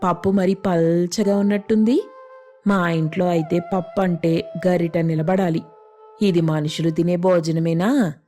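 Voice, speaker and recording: A woman's voice: on the low side, fairly even intonation, unhurried, dark tone, faint music behind, close to an everyday voice. A woman playing a haughty, demanding older female in-law who taunts her hosts in a comic story. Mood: scornful complaining. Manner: taunting, sarcastic, bossy. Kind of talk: comic moral story voice acting.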